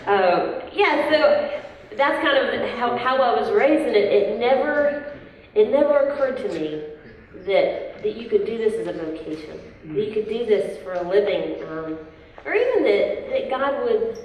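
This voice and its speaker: A woman's voice: on the high side, animated, moderate pace, muffled recording. Chatty Southern American woman in echoey hall. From southern United States. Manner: chatty, self-deprecating, laughs often, restarts. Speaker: worship leader, interview guest. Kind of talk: casual interview chat. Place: large hall at a worship event. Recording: echoey hall, voice from far off, faint noise.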